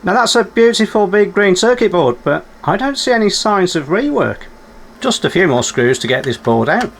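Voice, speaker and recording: A man's voice: high voice, very animated, normal speed, a little hiss or hum. A man giving unscripted running commentary as he works on a repair, musing aloud and putting questions to himself with dry, understated humour. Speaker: electronics repairer presenting a repair video.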